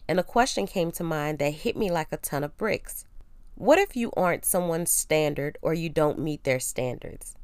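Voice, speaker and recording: Woman, young adult, congested, nasal, low-pitched, smooth tone, dry close sound, solo podcast host. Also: dry recording. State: congested, getting over a cold. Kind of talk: casual solo podcast, reading her blog post.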